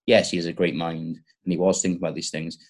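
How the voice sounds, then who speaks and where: A man in a casual intellectual discussion, making his points in a halting but emphatic way. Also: low-pitched, little pitch movement.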